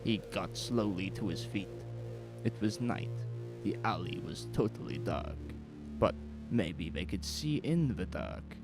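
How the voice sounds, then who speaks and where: A man with an extremely deep, heavily creaky voice narrates a horror audio drama. He takes his time, measured and suspenseful, while his pitch moves expressively. Music plays clearly underneath. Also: rough recording.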